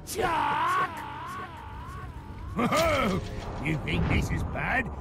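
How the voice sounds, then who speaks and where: Shrieking, gravelly, sadistic orc. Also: male voice, extremely high pitch, sing-song, full character voice. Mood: mocking. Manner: theatrical, mocking, drawn-out shouting and cackling. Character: gleeful, sadistic orc taunter, high and gravelly. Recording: loud music behind the voice. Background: loud game score.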